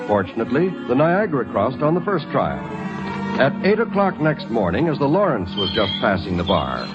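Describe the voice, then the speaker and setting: A man's voice: mid-range voice, big pitch swings, moderate pace, lo-fi sound, loud music behind the voice, lightly acted. A man delivering scripted narration for an old-time radio historical drama.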